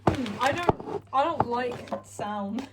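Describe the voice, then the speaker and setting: A woman teasing her friend with quick wisecracks while baking in a home kitchen. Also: fairly high pitch, lively intonation, very noisy. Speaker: co-host baking for a video. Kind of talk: casual chat.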